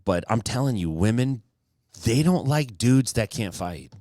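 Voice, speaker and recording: A man's voice: medium pitch, lively intonation, a little slow, a little mellow, no echo, studio quality. A middle-aged man co-hosting a podcast in casual banter, joking and putting on voices when he quotes people. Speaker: podcast co-host.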